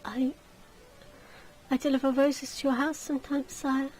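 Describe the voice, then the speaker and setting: A woman's voice: sits high, flat delivery, measured pace, a little mellow. A woman voicing a shy young flower girl in a visual novel, hesitant and meek.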